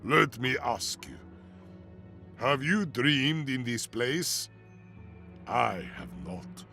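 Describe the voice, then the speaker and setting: An actor playing an eerie, cryptic mystic who doubts reality, speaking portentous lines in a fantasy video game. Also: male voice, medium pitch, very animated, extremely slow, gravelly, pushed and bright, soft background music, heavily acted. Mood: quietly unsettling.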